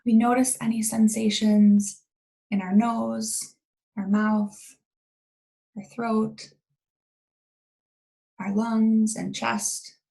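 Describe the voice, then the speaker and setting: A woman leading a guided meditation in a calm, gentle, unhurried way with long pauses and a creaky voice. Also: fairly high pitch, rough recording.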